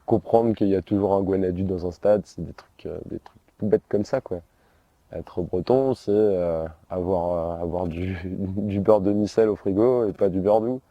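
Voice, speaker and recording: A middle-aged man being interviewed, talking in a relaxed, chatty way. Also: low-pitched, normal speed, dry recording, dull audio. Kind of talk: casual interview talk.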